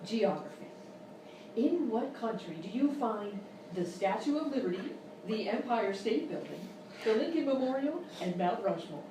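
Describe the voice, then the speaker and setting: A woman's voice: fairly high pitch, sing-song, moderate pace. A woman playing an exasperated, condescending quiz show host in a live radio-style comedy sketch. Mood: prodding. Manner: incredulous, scolding, mock-polite. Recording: very echoey live room, far mic, noisy.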